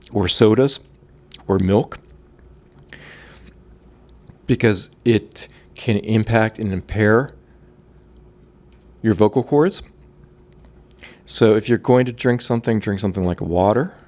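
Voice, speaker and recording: A man talking through voice and breathing exercises in a relaxed, conversational way. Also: on the low side. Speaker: voice coach. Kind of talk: instructional talk.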